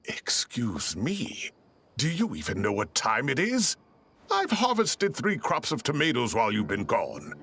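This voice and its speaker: A very animated older professor. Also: male voice, medium pitch, a little slow, exaggerated voice. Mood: mock indignation. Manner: theatrical, chatty, mock-grumbling. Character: fussy, kindly older male professor. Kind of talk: animated video game voice acting. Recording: music under the voice.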